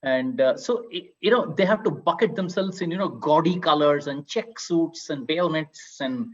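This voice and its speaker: A man with an Indian accent talks on a book panel. His voice is raspy and a bit high for a man, and he argues through rhetorical questions. The sound is slightly dull. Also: normal speed. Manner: animated explaining with rhetorical questions. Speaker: panelist and author. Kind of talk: literary panel discussion.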